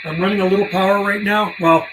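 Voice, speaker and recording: A man's voice: sits high, fairly even intonation, fast, roomy sound. A man chatting casually and slangily on a livestream as a radio operator, mixing in call signs and phonetic codes. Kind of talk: casual livestream chat mixed with radio calling. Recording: clear background noise.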